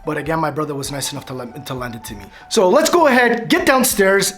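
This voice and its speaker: A man's voice: fairly high pitch, very animated, fast, a bit bright, soft background music. A man in his thirties casually explaining a truck radio install to camera, rambling with restarts and small laughs. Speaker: vlogger. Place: dim room at home, bike behind. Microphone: camera close up, facing it.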